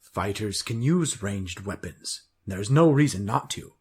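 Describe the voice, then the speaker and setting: A man's voice: on the low side, animated, measured pace. A man playing an omnipotent, quirky supercomputer in a comic radio drama, speaking in pompous, convoluted and oddly formal sentences. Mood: pompous, instructive.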